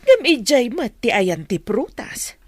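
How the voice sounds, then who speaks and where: A woman's voice: a little high, sing-song, unhurried, no echo, studio quality. An actress playing a blunt, scornful mother in an Ilocano-accented radio drama. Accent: Filipino, Ilocano. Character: scornful mother of a grown daughter, blunt and scheming.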